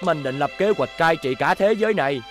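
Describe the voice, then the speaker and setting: A man's voice: fairly high pitch, animated, a little fast, fairly bright tone, faint music behind. A man voicing a vengeful, scheming boy robot villain in a cartoon dub, smug and gloating. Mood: persuasive. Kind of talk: cartoon dubbing.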